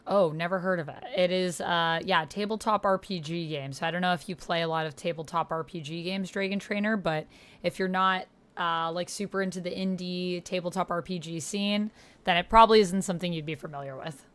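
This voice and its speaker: A woman's voice: lowish voice, steady tone, a little fast, fairly bright tone. A woman hosting a tabletop roleplay livestream, chatting casually and thinking aloud. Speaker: livestream host and tabletop player. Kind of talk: casual livestream chat, reading out typed roleplay.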